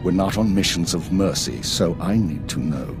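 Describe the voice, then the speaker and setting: Weary spymaster, deep voice, dry formal delivery, stern, sci-fi game, music in the background. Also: male voice, normal speed. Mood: stern, probing. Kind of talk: cinematic video game acting.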